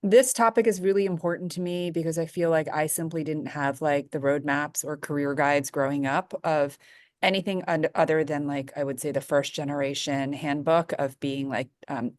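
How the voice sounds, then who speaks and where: A woman's voice: deep voice, steady tone, normal speed, dry recording, studio quality. A woman from New York introducing herself on a video-call panel from her office, in an upbeat, chatty way with frequent fillers. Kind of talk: conversational self-introduction.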